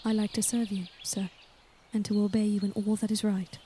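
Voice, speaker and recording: An actress playing a reserved, principled young woman in service in a period audio drama, measured, earnest and deferential. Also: medium pitch, flat delivery, dark tone, slight background noise, close to an everyday voice. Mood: earnest, steadfast. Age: young adult.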